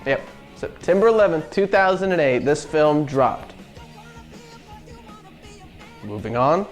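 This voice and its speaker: Sardonic man with a slightly high voice. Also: lively intonation, normal speed. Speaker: co-host. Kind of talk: semi-scripted video review commentary. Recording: music behind the voice.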